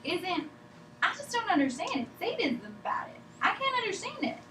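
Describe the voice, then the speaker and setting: Woman doing stubborn sick lady, sharp high voice, rambly and defensive, echoey room far from the mic. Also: big pitch swings, normal speed, heavily acted. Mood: agitated, evasive. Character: stubborn, evasive, ailing woman patient refusing amputation. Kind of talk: table read of a drama. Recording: echoey room, distant mic, faint noise.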